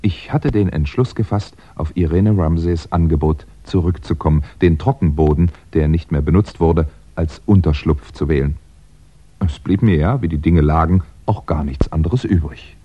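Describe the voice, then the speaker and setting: A hunted male crime novelist in a vintage German radio thriller. The voice is low and dark with a slightly husky grain, measured and wry. The recording is dull, like old mid-sixties radio. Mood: wry narrating. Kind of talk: 1960s German radio crime play acting.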